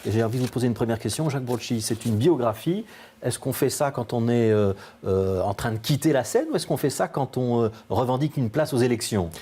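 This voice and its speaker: A television interviewer, a man with a mellow, dark voice at an average pitch. He fires off questions briskly, filling the gaps with frequent hesitations as he steers a studio interview. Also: slightly rough. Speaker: TV interviewer and host.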